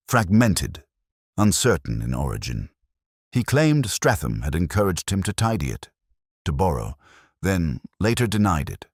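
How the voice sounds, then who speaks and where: A man reading first-person scripted detective fiction in a measured, solemn, literary manner. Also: rumbling low, no room sound, clean studio sound. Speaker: narrator of a detective story.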